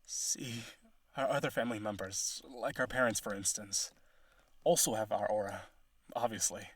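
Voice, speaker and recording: Man acting a husky, needy vampire aristocrat. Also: on the low side, steady tone, moderate pace. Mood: wistful. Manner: eager, coaxing, theatrical. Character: needy, possessive male vampire aristocrat, wooing eagerly. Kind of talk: romantic audio roleplay, spoken to listener.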